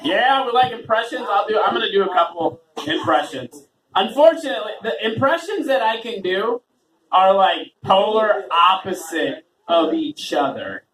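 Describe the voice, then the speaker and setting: A man rambles through a stand-up set at an open mic, laughing at his own jokes and poking fun at himself. His voice sits high and swings widely in pitch. It is captured from far off in a roomy space, with chatter from the crowd behind him. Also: lively intonation, moderate pace. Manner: rambling, self-deprecating, laughs often. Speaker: open mic host and comedian. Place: small open mic venue with a talking audience. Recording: roomy audience recording from far off.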